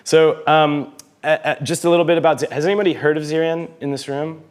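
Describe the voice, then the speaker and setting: A man's voice: fairly high pitch, a little fast, smooth. Casual man giving a conference talk. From United States. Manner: casual and self-deprecating, with frequent fillers and light laughs. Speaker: conference speaker, head of marketing.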